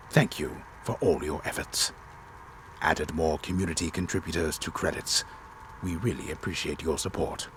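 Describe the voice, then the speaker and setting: A disembodied male game storyteller speaks from deep down in his range. He is solemn and deliberate, reading dramatically with sweeping, animated intonation. A faint ambient noise sits behind the voice. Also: exaggerated voice. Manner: theatrical, deliberate, solemn delivery. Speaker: narrator.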